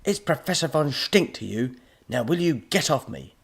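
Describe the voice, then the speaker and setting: A man voicing an irascible mad professor in a children's story, exasperated, melodramatic and given to shouting. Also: mid-range voice, very animated, moderate pace, gravelly, slightly rough, pitched above the performer's own voice, exaggerated voice. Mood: irritated barking. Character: irascible mad professor, shrieking and barking, easily enraged.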